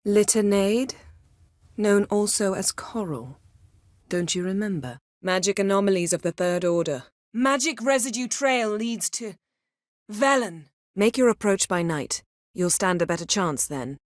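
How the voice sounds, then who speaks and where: A woman playing a haughty, sharp-tongued sorceress in a video game, speaking formally and imperiously with cutting poise. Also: medium pitch, lively intonation, measured pace, no room sound, clean studio sound, lightly acted. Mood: composed, explanatory. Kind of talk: video game character acting.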